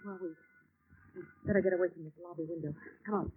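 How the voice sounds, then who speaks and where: A woman's voice: lowish voice, fairly even intonation, fast, close to an everyday voice. A woman playing a wary, edgy young American woman in an old-time radio drama, with a hesitant manner. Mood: wary, urgent. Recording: tinny, slightly noisy old radio recording.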